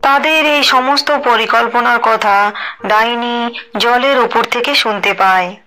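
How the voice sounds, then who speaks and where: A woman reads a scripted tale as its narrator. Her voice is fairly high and very bright, with a clear storytelling purpose. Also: slow pace, low-quality recording.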